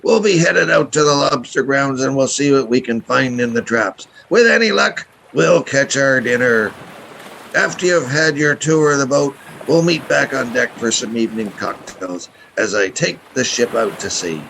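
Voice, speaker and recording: An actor playing a jovial, welcoming lobster boat captain in a radio play. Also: male voice, medium pitch, normal speed, vocal fry, a bit bright, poor audio. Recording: faint background noise.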